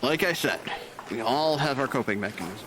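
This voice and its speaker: An actor playing a jaded male nurse supervisor who talks with calm, dry gallows humor. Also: mid-range voice, fairly quick, natural voice. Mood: wry grim. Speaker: charge nurse. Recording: noisy background.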